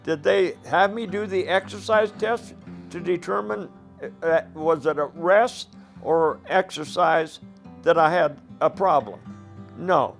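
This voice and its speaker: An older man with a raspy voice, a former coal miner speaking plainly and indignantly in a documentary interview. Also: fairly high pitch, slow, soft background music. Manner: plain-spoken, indignant, rhetorical questions.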